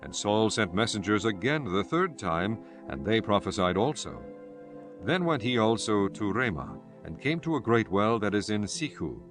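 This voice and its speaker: Casting: male narrator for dramatized scripture, low voice, solemn storytelling, faint music bed. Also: sing-song, normal speed, a bit bright.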